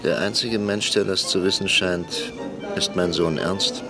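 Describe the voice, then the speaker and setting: Blunt, bitter Schindler. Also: male voice, sits low, fairly even intonation, music in the background. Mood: stern. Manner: blunt, sardonic, measured. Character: Schindler, middle-aged man, blunt, bitter Reichstag deputy. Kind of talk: 1960s radio drama acting.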